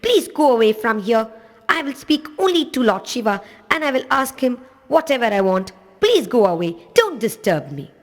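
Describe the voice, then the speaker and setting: A woman voicing a devout, stubborn little boy in a told story, speaking high and eager. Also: very animated, moderate pace. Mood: irritated. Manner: high, eager childlike pleading. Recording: echoey hall.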